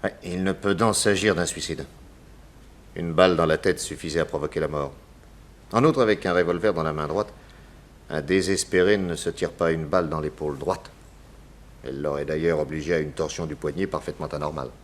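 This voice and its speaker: An actor playing a calm, authoritative male detective in a 1960s French radio drama, deliberate and courteous. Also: a bass voice. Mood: assured, analytical.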